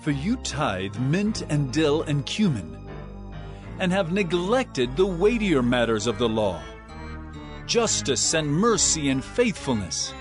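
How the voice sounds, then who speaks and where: Impassioned prophetic teacher, declamatory scripture drama, higher-pitched male voice, music behind. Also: sing-song. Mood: stern, indignant. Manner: declamatory, emphatic, drawn-out cries.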